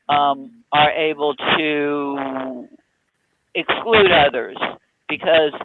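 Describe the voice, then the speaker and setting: A man talking slowly in a casual discussion, pausing often to search for his words. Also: a little high. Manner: slow, halting, searching for words. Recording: tinny phone line, background noise.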